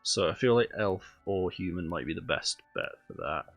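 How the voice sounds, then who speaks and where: A man's voice: deep voice, lively intonation, moderate pace, fairly bright tone, highs cut off, soft background music. A man with a cold and a stuffy, nasal voice, chatting casually through a video game while streaming, thinking aloud with lots of ums.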